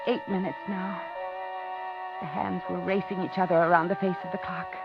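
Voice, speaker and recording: A woman's voice: lowish voice, little pitch movement, normal speed, lightly acted. An actress playing a frightened, outwardly polite captive woman who narrates her ordeal breathlessly in a 1950s radio thriller. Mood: tense, hushed dread. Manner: dramatic, breathless first-person suspense narration. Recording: dull 1950s radio drama, loud music.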